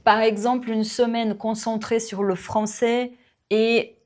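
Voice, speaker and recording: A woman who teaches French and hosts her own channel, answering viewer questions in a relaxed Q&A, with many fillers and small laughs. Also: a little high. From Brazil. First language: Portuguese. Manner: slow, warm, relaxed, with frequent fillers and little laughs. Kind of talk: casual Q&A to camera.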